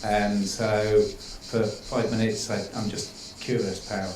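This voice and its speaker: A man moderating a panel, speaking in a measured, reflective way with pauses and ums. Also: fairly low pitch, little pitch movement, echoey room, noisy background.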